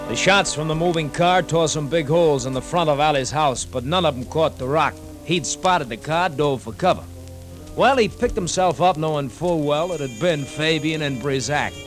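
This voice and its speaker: A man's voice: on the high side, expressive, moderate pace, lightly acted. A man narrating a hard-boiled 1950s radio detective story in slangy storytelling style. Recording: 1950s radio recording with music behind.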